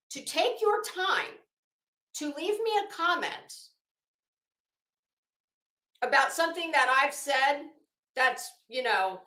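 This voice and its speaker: A woman's voice: high voice, pushed and bright, echoey room. A woman livestream host giving casual, sardonic commentary with theatrical, emphatic readings. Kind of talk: casual commentary with dramatic reading of a legal document.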